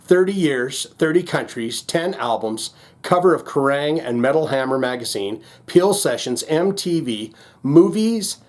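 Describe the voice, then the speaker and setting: Male reaction host, slightly high register, loose slangy commentary with a joking, wandering delivery. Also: lively intonation, unhurried, few pauses, darkish tone. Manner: rambling, jokey, slangy. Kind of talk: casual chatty commentary, thinking aloud.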